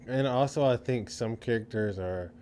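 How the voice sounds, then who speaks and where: A man with a medium-pitched voice chimes in on a casual podcast. His remarks are short and informal, heard over some background noise. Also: normal speed, a little mellow. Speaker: podcast co-host.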